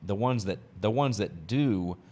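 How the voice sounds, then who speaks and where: A man's voice: fairly low pitch, expressive, measured pace, dark tone. A man hosting a business podcast in casual chat, talking emphatically and repeating his key phrases. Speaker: podcast host.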